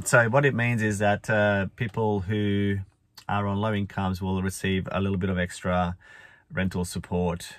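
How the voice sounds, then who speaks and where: Male vlogger, 40s, deep and croaky with a cold, rambling, chatty, dry close sound. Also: no room sound. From Australia. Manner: chatty, rambling, frequent fillers and restarts. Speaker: finance vlogger. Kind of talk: casual talking-head vlog. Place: inside a parked car. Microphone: phone or camera at arm's length.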